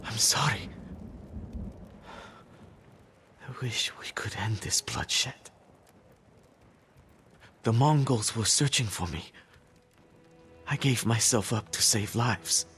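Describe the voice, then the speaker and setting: An actor playing a stubborn, principled pacifist healer monk, earnest and pleading, in a dramatic video game cutscene. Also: male voice, fairly low pitch, animated, slow, pauses often, a bit bright, heavily acted. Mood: sorrowful, gentle. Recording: background music under the dialogue.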